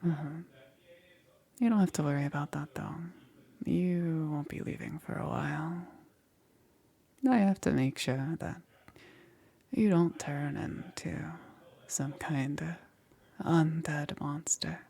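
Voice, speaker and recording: Woman playing a slow, husky whispering witch. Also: deep voice, full character voice. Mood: sly, wry. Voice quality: breathy, husky, often whispering. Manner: slow, hesitant, softly cooing. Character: soft-spoken witch, coaxing, quietly menacing necromancer. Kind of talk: ASMR roleplay.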